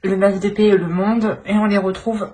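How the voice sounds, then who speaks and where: A woman giving an informal tarot reading, speaking haltingly as she searches for words and often clears her throat. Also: medium pitch, fairly even intonation, warm tone, slight background noise. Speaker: tarot reader.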